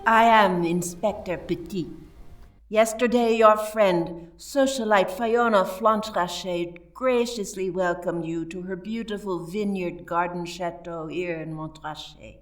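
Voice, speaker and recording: A woman playing a formal, measured French police inspector in a radio play. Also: fairly low pitch, recorded from a distance, soft background music, natural voice. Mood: formal, probing.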